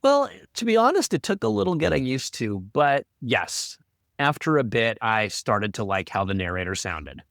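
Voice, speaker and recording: A man's voice: mid-range voice, sing-song, normal speed, vocal fry, a bit bright, no echo, studio quality. A man co-hosting a podcast in a conversational, explanatory style. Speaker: podcast co-host. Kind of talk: conversational production discussion.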